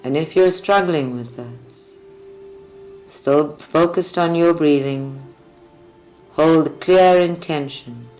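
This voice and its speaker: Meditation guide, female, unhurried delivery with long pauses, soft music underneath. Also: low-pitched, rough recording. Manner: deliberate, measured phrasing with long pauses. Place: group meditation at a creativity centre. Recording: live recording with music behind. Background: faint music.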